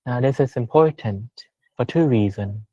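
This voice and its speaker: A man leading a guided meditation as a teacher, speaking gently and unhurriedly with long pauses. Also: medium pitch, no echo, lo-fi sound.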